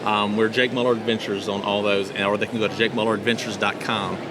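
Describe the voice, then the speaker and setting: A man's voice: fairly low pitch, flat delivery, fast talker. A man speaking casually as an interview guest, explaining his work as an audio drama producer. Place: busy convention center event floor. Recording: noisy convention hall background.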